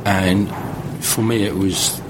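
A man in his forties who grew up in the Netherlands speaks with a low voice. He recounts his experiences as an interviewed author, conversational and thinking aloud. There is clear background noise behind him. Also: fairly even intonation, slow pace. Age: 40s. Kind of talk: reflective interview storytelling.

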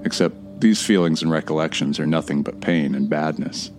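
Very deep-voiced, wry, haunted man. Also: steady tone, normal speed. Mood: bitter, troubled. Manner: reflective, sardonic, profane asides. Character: Jake Fisher, wry, haunted man recounting wildfires. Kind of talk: dramatic monologue in audio drama. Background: music.

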